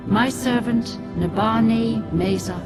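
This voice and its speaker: An actress playing a regal, solemn video game goddess who speaks slowly and formally, commanding her chosen servant. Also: fairly high pitch. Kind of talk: video game dramatic monologue. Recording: loud music behind the voice. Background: loud game music.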